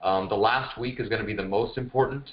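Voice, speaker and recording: Low-voiced man coaching over muffled call audio. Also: fast talker. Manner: informal, encouraging, jokey. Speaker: fitness coach hosting a group detox call. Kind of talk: casual chat, coaching a group. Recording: dull, lo-fi conference call audio. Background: no music, voice on a group phone call.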